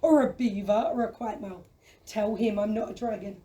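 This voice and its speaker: A woman acting a gentle, cowardly dragon in a children's audio play, theatrical, fussy and exclamatory. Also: medium pitch, fairly quick, lightly acted. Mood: indignant, pleading. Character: flamboyant, cowardly, gentle dragon who shuns fighting. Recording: distant microphone.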